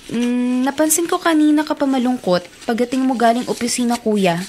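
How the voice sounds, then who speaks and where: A woman acts out a dutiful young Filipina little sister in a radio play. Her voice is very high and girlish, with slight background noise. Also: brisk, darkish tone, natural voice. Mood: caring. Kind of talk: Filipino radio drama acting.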